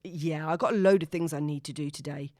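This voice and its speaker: A woman voicing a smooth, breezy, noncommittal man on a date, with a casual, glib manner, in an audiobook. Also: low voice, fairly quick, a little mellow, no room sound, clean studio sound, lightly acted. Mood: breezy, evasive. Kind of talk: audiobook character dialogue.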